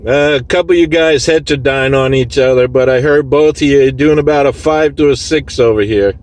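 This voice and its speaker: A man's voice: mid-range voice, a little fast, a little mellow. A man working as a CB radio operator, talking casually in clipped radio lingo from inside a vehicle. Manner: clipped radio lingo, repeats call sign. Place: inside a vehicle, mobile unit. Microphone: handheld phone recording in the vehicle. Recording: noisy phone recording of CB radio session. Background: clear radio and vehicle noise.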